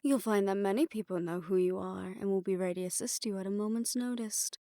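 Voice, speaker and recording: A woman's voice: medium pitch, quick pace, mellow, no echo, lightly acted. A woman playing a poised, imperious hotel manager in an audio roleplay, speaking formally and with polite condescension. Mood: courteous, composed. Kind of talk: scripted audio roleplay addressed to the listener.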